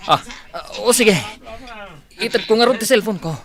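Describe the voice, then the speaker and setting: A man's voice: high voice, moderate pace, a bit bright. An actor playing a frightened, pleading young man in a radio drama.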